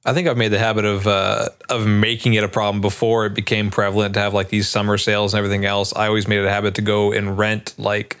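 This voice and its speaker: Arizona guy chatting on a podcast, clear smooth voice, bit halting. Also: on the low side, level tone, fairly bright tone. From Arizona, United States. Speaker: podcast panellist. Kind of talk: casual chat.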